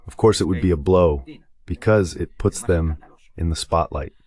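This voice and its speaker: A man with a very deep voice speaks as a guest analyst. The delivery is a translated voice-over of spoken geopolitical commentary. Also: normal speed, darkish tone.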